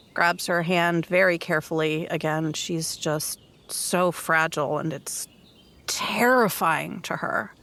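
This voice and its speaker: A woman's voice: on the low side, a bit bright. A woman player describing her character's actions and feelings in a tabletop roleplaying game.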